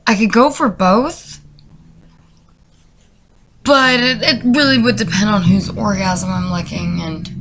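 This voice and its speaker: A woman with noticeable vocal fry delivers deadpan comedic commentary over a music bed. She rambles through sarcastic, incredulous asides at a middling pitch. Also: poor audio. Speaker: comedy video creator.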